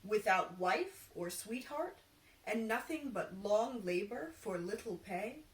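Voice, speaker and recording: A woman voicing a gullible, excitable young male carpenter in a storybook reading. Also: mid-range voice, takes it slowly. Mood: gloomy. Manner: excitable, exclaiming. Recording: roomy echo, distant microphone.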